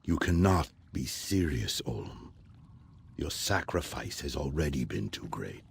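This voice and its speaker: Grave old alien sage, fantasy game. Rock-bottom gravelly voice, solemn and formal, wide swings in pitch. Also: male voice, very animated, a little slow, full character voice. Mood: sorrowful. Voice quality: very deep, gravelly. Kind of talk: fantasy video game voice acting.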